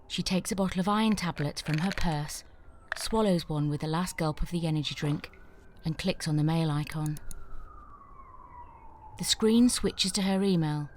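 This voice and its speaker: A woman's voice: low-pitched, moderate pace, pauses often, a little mellow, a little hiss or hum. Woman narrating screenplay directions. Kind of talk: scripted narration of stage directions.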